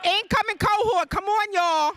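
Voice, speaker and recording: A woman's voice: very high-pitched, moderate pace. A woman co-hosting a celebration, upbeat and commanding as she directs people on stage. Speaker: co-host. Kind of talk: emceeing a celebration. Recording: crowd noise behind.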